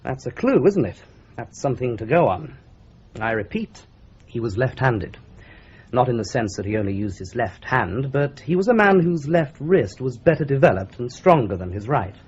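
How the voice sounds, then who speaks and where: A relishing, teasing police doctor whose voice swoops up and down as he lays out a murder method. His voice is of middle pitch and sounds slightly muffled, as on an old radio drama recording. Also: moderate pace, slightly rough. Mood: explaining. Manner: lively, teasing, demonstrative.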